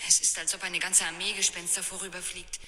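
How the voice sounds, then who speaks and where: Bright, echoing spooked young voice. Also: female voice, on the low side, little pitch movement, brisk. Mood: eerie, spooked. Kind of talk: German children's mystery radio play acting. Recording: echoey reverberant old radio play.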